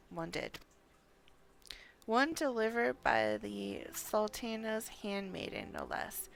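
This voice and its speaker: A woman voicing a brisk, chatty, motherly female game character, reading the dialogue aloud in a folksy way. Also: mid-range voice, creaky, heavily acted, low-quality recording.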